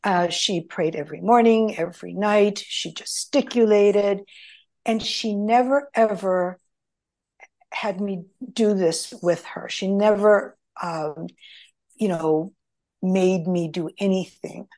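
A middle-aged woman whose first language was Greek tells her story in an unhurried, measured way. She wanders through her thoughts and stops to restart often. Her voice sits at an ordinary pitch. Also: darkish tone. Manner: rambling, many restarts and fillers. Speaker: guest. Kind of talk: conversational storytelling.

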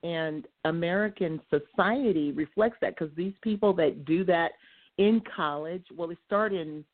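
A soft-spoken woman calling in, giving earnest, rambling commentary with many restarts. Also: fairly low pitch, a little slow, no room sound. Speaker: caller. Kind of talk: call-in commentary. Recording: tinny phone line.

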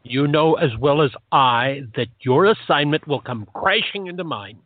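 Guy acting angry resentful coworker man, radio play, highish voice swinging all over, tinny phone sound. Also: lively intonation, moderate pace, dry recording. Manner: confrontational, indignant. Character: indignant, hot-tempered tall man, a resentful colleague. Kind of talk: audio drama acting. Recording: muffled, narrow phone-like audio.

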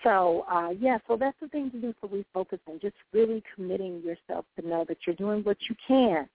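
Gravelly-voiced woman hosting call-in radio. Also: medium pitch, a little fast, dry recording. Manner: encouraging, uplifting, preachy. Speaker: host. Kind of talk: call-in talk radio, motivational. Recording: dry, tinny phone-like line.

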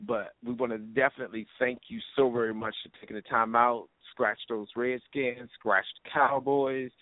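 A man's voice: medium pitch, fairly even intonation, moderate pace, no room sound. Male internet radio host, informal and effusive, repeats himself for emphasis; dry, lo-fi phone-grade audio. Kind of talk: casual interview and sign-off shout-outs. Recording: muffled lo-fi internet radio call.

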